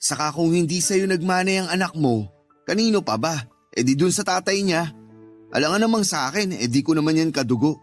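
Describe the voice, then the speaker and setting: Male actor as callous husband, high male voice, dismissive, argumentative, radio drama, faint music underneath. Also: lively intonation, a little fast, natural voice. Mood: dismissive, cold. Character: callous, irritable husband and stepfather, resentful of the stepchild. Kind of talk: Tagalog radio drama acting. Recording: music behind the voice.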